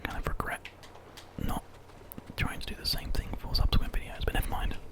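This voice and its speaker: British man, breathy whisper, close-miked, gentle rambling ASMR gameplay narration. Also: a little fast. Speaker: ASMR host and gamer. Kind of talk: ASMR whispered game commentary. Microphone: very close microphone.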